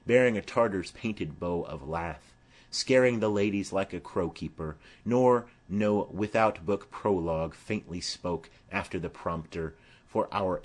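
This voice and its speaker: A man voicing a brisk, practical young gentleman in a declamatory Shakespeare audiobook reading. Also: on the low side.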